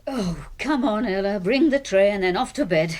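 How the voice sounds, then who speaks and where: An actress playing a practical middle-aged woman doctor with a British accent, brisk and no-nonsense, in a radio drama. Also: mid-range voice, lively intonation, a little mellow, close to an everyday voice. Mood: brisk, exasperated. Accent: British English. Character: brisk, practical lady doctor, the colonel's exasperated sister.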